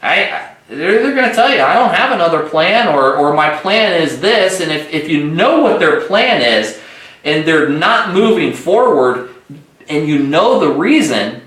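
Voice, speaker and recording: Higher-voiced man coaching sales, echoey room. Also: sing-song, measured pace, a bit bright. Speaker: sales trainer hosting an agent conference call. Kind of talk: instructional sales coaching talk.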